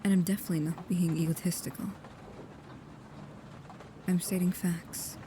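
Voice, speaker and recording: A woman playing a devoted, proud and guarded vampire protector in an intimate ASMR roleplay, speaking softly and haltingly, often dropping into a whisper. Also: lowish voice, little pitch movement, close to an everyday voice. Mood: calmly proud. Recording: clear background noise with ambience.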